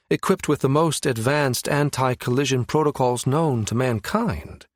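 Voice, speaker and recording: A man's voice: medium pitch, dry recording, studio quality, close to an everyday voice. Man playing a smug, scheming villain. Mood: mocking. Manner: mocking, measured, menacing. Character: cold, scheming male villain, smug and calculating.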